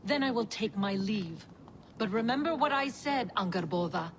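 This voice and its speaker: An actress voicing an ominous, scheming Norse giantess in a video game, speaking with veiled menace. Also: female voice, mid-range voice, expressive, normal speed, close to an everyday voice. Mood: veiled threat. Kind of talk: video game cutscene acting. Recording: faint background game ambience noise.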